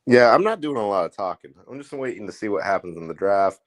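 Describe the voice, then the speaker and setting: A man with an Indiana accent hosting a casual livestream, talking in a rambling, profane, excitable way. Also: fairly low pitch, fast talker, no echo. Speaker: livestream host.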